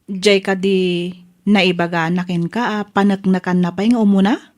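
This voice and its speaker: A woman's voice: mid-range voice, fairly even intonation, moderate pace, lightly acted. A woman playing a frank, concerned young friend and gossipy confidante in a drama. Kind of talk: Ilocano radio-drama style acting.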